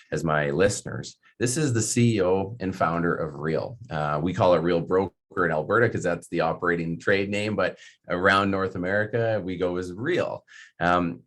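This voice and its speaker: A man with a notably deep voice hosts a casual podcast interview. He talks in loose, rambling runs, circling back with fillers and restarts. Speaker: podcast host.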